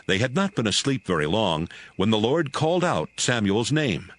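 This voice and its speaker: A man's voice: on the low side, big pitch swings, moderate pace. A man narrating a dramatized scripture reading from a script, as the storyteller between acted parts.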